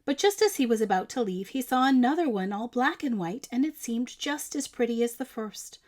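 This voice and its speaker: High-voiced expressive woman storyteller. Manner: expressive, emphatic, deliberate phrasing for children. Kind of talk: picture book read-aloud.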